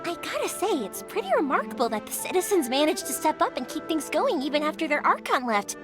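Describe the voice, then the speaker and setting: Energetic young fireworks maker: talkative, eager, very high and sing-song. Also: female voice, fairly quick. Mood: cheerful. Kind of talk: video game dialogue. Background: game music.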